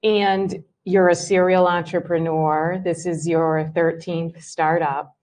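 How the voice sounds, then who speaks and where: Woman hosting a talk, talks real slow n measured, bit low-pitched. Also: little pitch movement, a little mellow. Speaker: event host, moderator. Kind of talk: hosting an interview on stage.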